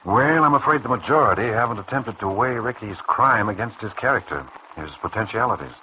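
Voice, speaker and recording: A man playing a kindly, principled senior doctor in a mid-century radio soap opera, speaking in a measured, earnest way. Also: on the low side, lively intonation, bright, forceful tone, lo-fi sound. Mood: earnest, concerned. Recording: faintly noisy old radio recording.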